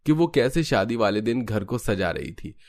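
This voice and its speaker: A man's voice: mid-range voice, very animated, normal speed, darkish tone, dry recording, studio quality. A man reading scripted narration for a family drama cartoon story.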